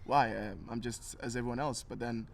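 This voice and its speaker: Young male interview guest, Tanzanian origin; earnest, casual, medium pitch, ambient noise behind. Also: fairly even intonation, fairly quick, vocal fry. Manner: reflective, earnest.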